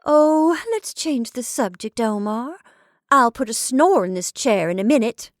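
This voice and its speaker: A woman's voice: on the high side, animated, unhurried, dry recording, pitched above the performer's own voice. A woman voicing a flippant young chorus girl, breezy and teasing with mock-dramatic flair, in a dry, close recording.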